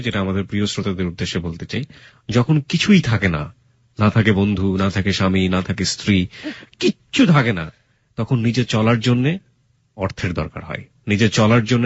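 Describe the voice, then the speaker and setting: Male radio host, Bangladeshi accent, low husky voice, frank and admonishing, English phrases mixed in. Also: expressive, brisk, dry recording, highs cut off. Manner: blunt, admonishing, emphatic; mixes English words and phrases into speech. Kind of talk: radio life-story interview, giving frank advice.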